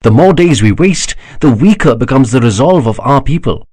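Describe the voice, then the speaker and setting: A man voicing an impatient, forceful female prime minister who presses her point urgently. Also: medium pitch, lively intonation, moderate pace, husky, dry recording, pitched above the performer's own voice. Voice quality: urgent, insistent.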